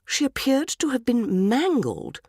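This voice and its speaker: A woman voicing a gallant gentleman doctor in a story, formal, solemn and measured. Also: high-pitched, big pitch swings, slightly rough, no room sound, studio quality, heavily acted.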